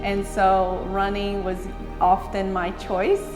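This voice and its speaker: A woman from Brazil, speaking candidly and lively in an interview, with bursts of speed. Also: medium pitch, takes it slowly, roomy sound, long reverb tail, faint music behind, rough recording. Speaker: interviewee, runner.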